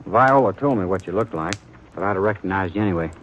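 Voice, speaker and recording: An American man playing a nervous, earnest, proud young suitor in a 1950s radio western, speaking with halting, tense rustic politeness. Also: low voice, expressive, a bit bright. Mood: nervous, respectful. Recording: dull, faintly noisy old radio broadcast.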